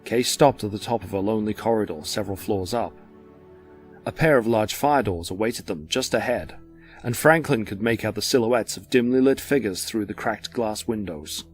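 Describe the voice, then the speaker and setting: Guy narrating an audio drama, kinda low voice, measured storytelling, soft music in back.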